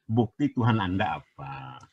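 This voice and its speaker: A husky-voiced man takes part in a religious debate over dull lo-fi audio. He insists on being heard, repeating his phrases and pleading for the chance to finish. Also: low voice, very animated, measured pace, dry recording.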